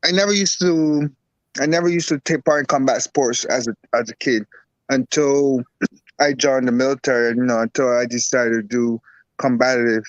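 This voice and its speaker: A man from Barbados, a guest herbalist and boxer, talking in a relaxed, rambling way with lots of repeats. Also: mid-range voice, moderate pace, no echo, highs cut off. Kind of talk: casual interview talk.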